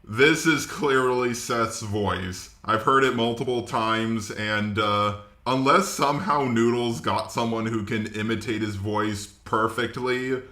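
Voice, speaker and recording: Guy doing casual reaction commentary, bright forceful voice, roomy echo. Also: mid-range voice, takes it slowly, few pauses. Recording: roomy, echoey voice recording.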